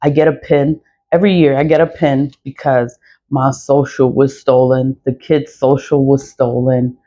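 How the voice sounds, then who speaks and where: A woman with a raspy voice, venting bluntly and profanely in an early-morning vlog, repeating herself as she goes. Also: rumbling low, flat delivery, normal speed, warm tone, a little hiss or hum. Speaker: vlogger. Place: dark room before dawn.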